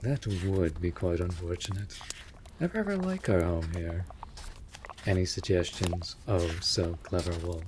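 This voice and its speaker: A man's voice: sits low, expressive, a little slow. A man playing a wry, put-upon winged animal familiar in a fantasy audio roleplay, with teasing, dramatic, formal diction. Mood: wary, dry. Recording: clear background noise.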